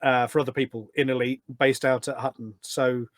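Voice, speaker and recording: A man's voice: mid-range voice, flat delivery, fairly quick, no room sound. A man talking enthusiastically as a guest in a casual interview, explaining things in a rambling way. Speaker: podcast guest, gaming community event organiser.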